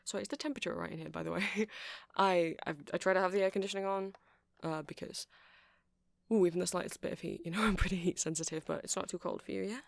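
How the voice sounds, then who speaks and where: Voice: medium pitch, fairly even intonation, fast talker, creaky, dry recording, studio quality, natural voice. A performer voicing a chatty, nerdy male vampire shopkeeper in an ASMR roleplay, rambling hesitantly and apologetically as they explain things. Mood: apologetic, chatty. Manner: hesitant, rambling, self-correcting. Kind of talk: ASMR roleplay, casual rambling sales chat.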